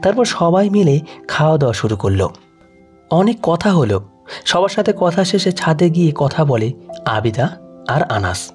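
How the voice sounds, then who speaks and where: Male narrator for an emotional audio story; slightly high register, highly varied melody, light music underneath. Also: very animated, moderate pace, long pauses.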